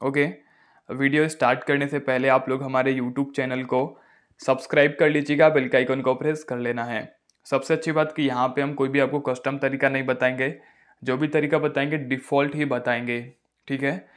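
A man's voice: mid-range voice, flat delivery, normal speed. A man, narrating a casual phone tutorial as its host.

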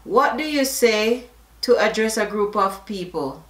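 A middle-aged woman from Jamaica with a Jamaican accent, reading a word list and questions aloud in a casual vlog, slow and deliberate, slipping into patois asides. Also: mid-range voice, expressive, reverberant. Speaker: vlogger. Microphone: camera facing her at close range.

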